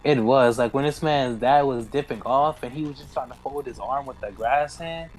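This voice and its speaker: A man's voice: mid-range voice, normal speed. A young man chatting casually with friends in a slangy, teasing way. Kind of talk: casual chat among friends. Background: video game music.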